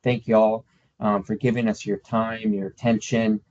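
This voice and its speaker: A man's voice: on the low side, little pitch movement, moderate pace, a little mellow, slight background noise. A man hosting a travel webinar, upbeat and polite, thanking guests and passing on questions. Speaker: webinar host. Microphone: video call. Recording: slightly dull video call audio.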